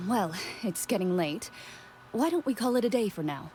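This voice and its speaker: A woman's voice: mid-range voice, slight background noise, lightly acted. An actress voicing a composed woman narrating as game master in a video game. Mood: calm. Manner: measured, calm storyteller.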